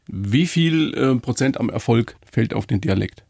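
A man's voice: medium pitch, animated, measured pace, creaky, darkish tone. A man from the Upper Palatinate, hosting the interview and putting probing, skeptical questions to his guests. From Upper Palatinate, Germany. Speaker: interviewer, host.

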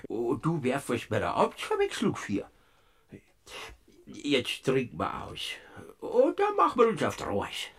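A sly, childlike figure of Death in an old Bavarian radio play. The voice is gravelly and breathy, drawn out slowly, and it leaps from a low whisper to a high squeal. He stammers, giggles and coaxes. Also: wildly swooping pitch, a bit bright, full character voice. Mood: solemn wheedling. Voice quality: raspy, creaky, whispering and squealing by turns. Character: Death as a sly, bumbling Bavarian peasant spirit. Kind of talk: classic radio play acting.

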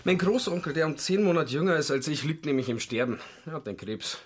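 An actor playing an unabashed, worldly count who schemes nonchalantly in a radio play. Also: male voice, on the high side, a little fast, slight background noise, natural voice. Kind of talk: German radio play acting.

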